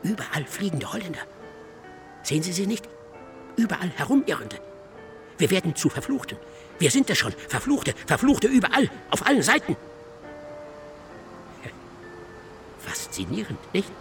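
An actor playing an excitable, self-absorbed male scholar in a German radio drama, lecturing insistently and theatrically. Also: high voice, moderate pace, faint music behind, exaggerated voice. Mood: feverish, fascinated. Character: excitable, self-absorbed male scholar expounding his dark ghost-ship thesis.

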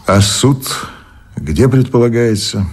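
An actor playing a sardonic revolutionary official in a radio play, with a very husky, raspy voice and a dry, animated manner. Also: male voice, mid-range voice, slow pace, full character voice. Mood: curious.

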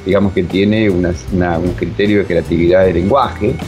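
A man's voice: fairly even intonation, a little fast, darkish tone, soft background music. A man with a Rioplatense Argentine accent talks casually as an interviewed director, rambling emphatically and searching for words. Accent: Rioplatense Argentine Spanish. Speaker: radio drama director being interviewed. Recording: muffled, low-fi with faint noise.

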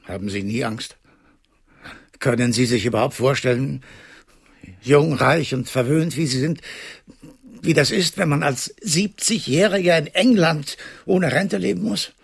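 A husky, creaky old doctor. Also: male voice, a little high, unhurried, no room sound, studio quality, exaggerated voice. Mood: defensive, bitter. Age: 70s. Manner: weary, apologetic, explaining. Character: fearful, resigned seventy-year-old male animal doctor. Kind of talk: radio drama acting.

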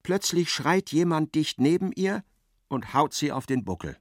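A man reading scripted literary narration in a radio play, with expressive, dramatic storytelling. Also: fairly high pitch, measured pace, no echo, clean studio sound.

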